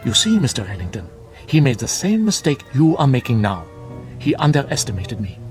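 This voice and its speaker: An actor playing a grave, fervent older monk in a radio drama, speaking in formal, measured, preachy phrases. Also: male voice, medium pitch, sing-song, faint music behind, close to an everyday voice. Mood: solemn storytelling. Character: solemn bearded older monk, fervent guardian of truth. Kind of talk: classic radio drama acting.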